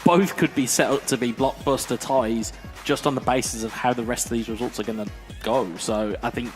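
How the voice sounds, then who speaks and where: A man's voice: mid-range voice, steady tone, fairly quick, over music. A man doing co-commentary on an esports football event, talking things through with lots of fillers. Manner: thoughtful, with many fillers like "kind of". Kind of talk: analytical co-commentary.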